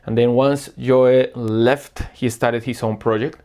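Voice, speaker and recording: A man from Colombia, a guest record selector chatting casually and earnestly about records, often pausing to search for words. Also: mid-range voice, fairly even intonation, darkish tone, smooth. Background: faint music playing.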